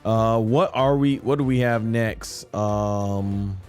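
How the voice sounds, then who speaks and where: A man's voice: lowish voice, slow, darkish tone, soft background music. A man giving casual, rambling live-stream gaming commentary, thinking aloud as he goes. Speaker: live streamer and amateur voice actor.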